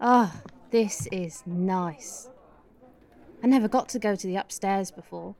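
An actress in a radio play as an ambitious, scolding, scheming politician's wife. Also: female voice, medium pitch, unhurried, darkish tone, close to an everyday voice. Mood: smug, crude.

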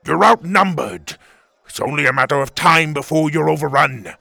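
Gravelly, menacing fantasy warlord. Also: male voice, mid-range voice, expressive, unhurried, sharp tone, no room sound, heavily acted. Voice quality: gravelly, growling. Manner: threatening, gloating. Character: menacing boastful warlord commanding a gizzard army. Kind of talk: children's fantasy audiobook character.

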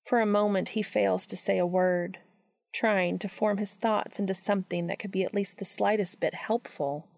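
A woman's voice: mid-range voice, fairly even intonation, normal speed, vocal fry, darkish tone, no echo. A woman hosting a podcast, reading scripted introductions and fan fiction narration aloud. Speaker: podcast host and reader.

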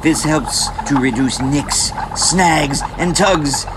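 An actor playing a pompous, earnest pitchman who speaks in formal, grandiose, slightly awkward phrases in a comic ad. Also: slow, background noise. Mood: grandly enthusiastic. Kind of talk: comic scripted ad read in character.

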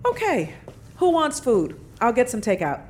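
Exasperated, sarcastic daughter, very expressive. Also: female voice, fairly high pitch, unhurried. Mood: wry, determined. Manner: wry, emphatic, sarcastic. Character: exasperated, sarcastic grown daughter back in her creepy hometown. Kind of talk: naturalistic audio drama dialogue. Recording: audio drama with faint background noise.

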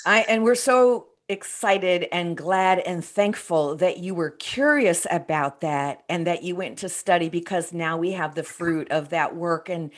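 A woman's voice: fairly low pitch, unhurried, hardly any pauses. A woman in her forties, an ordained pastor hosting a faith podcast interview, earnest and asking leading questions.

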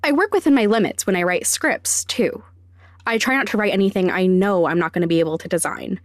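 Woman, podcast host, scripted explainer, mid-pitched, wry, self-deprecating, dry recording. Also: fairly quick, no echo. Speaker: podcast host and sound designer.

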